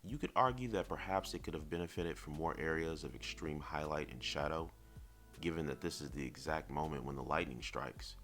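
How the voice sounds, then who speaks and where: A man reviewing collectibles in semi-scripted narration, speaking in a measured, articulate and lightly wry way over background music. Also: rumbling low, fairly bright tone.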